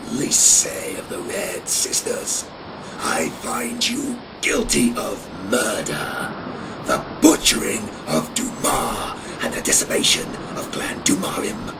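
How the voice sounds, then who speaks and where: An actor playing a menacing, taunting vampire who accuses and interrogates in a theatrical audio drama. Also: male voice, fairly low pitch, distant mic, very noisy. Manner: taunting, theatrical, slow deliberate delivery. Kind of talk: fan audio drama acting.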